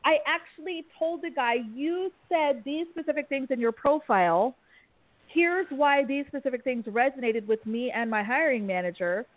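A lively woman talks through a recruiting story, her voice sitting a little high and quick to laugh. The sound comes through thin and tinny, like a phone line. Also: no room sound. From Kansas. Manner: animated, chatty, laughs easily. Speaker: tech recruiter guest. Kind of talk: casual podcast chat.

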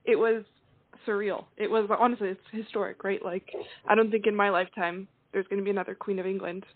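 A woman's voice: mid-range voice, flat delivery, a little fast, no room sound. A young woman from Croatia, a singer guest, chatting easily with many likes and ums. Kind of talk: casual interview chat. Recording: dry, phone-like line.

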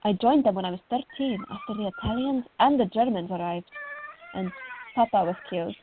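A woman's voice: mid-range voice, huge pitch swings, brisk, a little hiss or hum. An actress playing a fiery, outspoken young Greek woman artist in a radio play, on a thin recording. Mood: solemn, proud. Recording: thin, phone-like audio.

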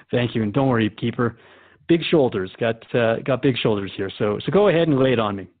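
A man's voice: mid-range voice, lively intonation, moderate pace, natural voice. Man playing a radio host, muffled sound. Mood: amused. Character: human radio host, easygoing but questioning interviewer. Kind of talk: conversational radio drama interview.

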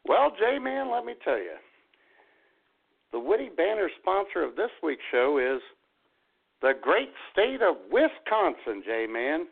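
A man's voice: high voice, very animated, slow pace, gravelly, breathy edge. A man co-hosting a podcast with casual banter and a wry, joking manner. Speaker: co-host of a comedy podcast. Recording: tinny phone-like internet radio audio.

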